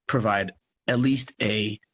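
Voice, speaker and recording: A man talking earnestly in a casual online interview, haltingly as he thinks aloud. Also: fairly low pitch, fairly even intonation, husky. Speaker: podcast producer and guest. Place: live social media video call.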